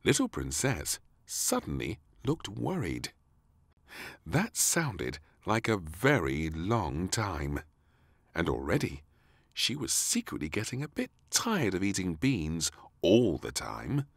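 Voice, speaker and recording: A man narrates a children's storybook at a leisurely pace, with hugely varied intonation. His delivery is expressive and measured, made for young listeners. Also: deep voice, gravelly, dry recording, studio quality.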